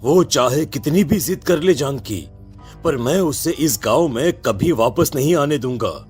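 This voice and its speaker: A man's voice: mid-range voice, expressive, a little slow, darkish tone, faint music behind, lightly acted. A man playing an anxious, protective village headman and father, speaking earnestly and hesitantly in a dramatized story. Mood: grave, worried. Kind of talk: Hindi audio story acting.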